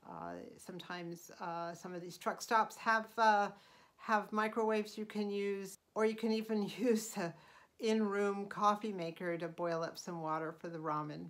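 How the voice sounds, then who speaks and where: A middle-aged woman hosting her own channel, talking through casual tips in an unhurried, chatty way with frequent ums and uhs. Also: medium pitch, fairly even intonation. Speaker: channel host. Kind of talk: casual vlog voice-over.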